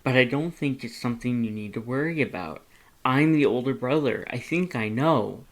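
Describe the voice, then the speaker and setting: Guy voicing a tired skeleton big bro, quiet mumbly deflecting. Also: mid-range voice, normal speed, natural voice. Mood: defensive. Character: weary, evasive skeleton older brother.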